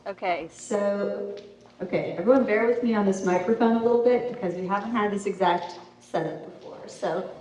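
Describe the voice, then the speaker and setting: A woman's voice: mid-range voice, moderate pace, a little hiss or hum. Woman host in an echoey room. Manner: friendly, relaxed, many fillers like you know. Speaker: interviewer, series host. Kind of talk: live event interview. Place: community center event room. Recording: echoey room, far from mic.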